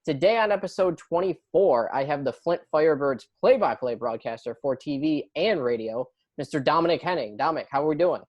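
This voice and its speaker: A man's voice: a little high, expressive, brisk, few pauses, a little mellow, dry recording, highs cut off. A man hosting a conversational interview podcast, speaking close to the mic. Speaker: podcast host.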